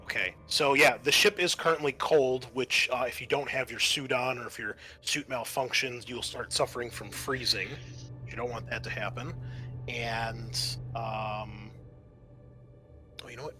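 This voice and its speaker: Bright-voiced man running a tabletop game. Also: medium pitch, steady tone, measured pace, soft background music, low-quality recording. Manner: hesitant, thinks aloud while recalling rules. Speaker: game master. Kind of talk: explaining a tabletop game scenario.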